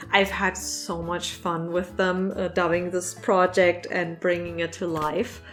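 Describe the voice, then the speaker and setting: A woman with a mid-pitched voice talks casually to camera as the host of a vlog. She is chatty and animated, leaning on words for emphasis. Faint music plays behind her. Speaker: online animator and comic creator hosting a Q&A.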